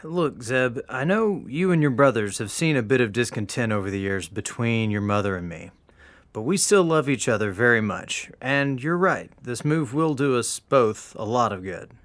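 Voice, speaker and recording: Guy playing a fatherly middle aged dad in an audio drama, sincere, normal pitch, dry recording. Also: lively intonation, moderate pace, a bit bright, no echo, lightly acted. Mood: earnest.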